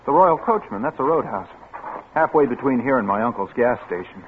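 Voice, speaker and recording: A man's voice: mid-range voice, lively intonation, fairly quick, lightly acted. An actor playing a chatty, helpful small-town local man, folksy and matter-of-fact, in a 1950s radio suspense drama. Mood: chatty, excited. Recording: noisy, tinny vintage radio recording.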